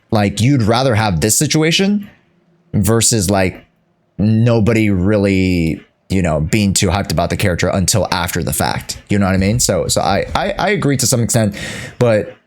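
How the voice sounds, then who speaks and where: Lively, slangy male game streamer. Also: fairly low pitch. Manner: slangy, teasing. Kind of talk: casual livestream chat over gameplay.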